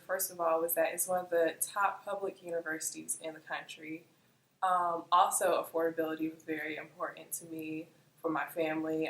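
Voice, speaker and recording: A woman's voice: low-pitched, roomy sound, rough recording. A woman in her late teens, a first-year student, speaking sincerely and with poise in an interview. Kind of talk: interview answer. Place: indoor room before a campus backdrop.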